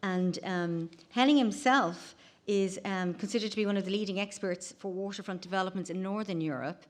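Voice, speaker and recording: A woman's voice: medium pitch, a little fast. A woman moderating a conference session, thanking one speaker and introducing the next in a loose, chatty way. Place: conference room.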